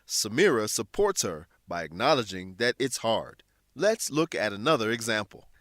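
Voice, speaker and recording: A man reads scripted educational narration in a deliberate, clearly worded, instructional manner. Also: lowish voice, big pitch swings, a bit bright, dry recording, studio quality. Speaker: narrator and English teacher.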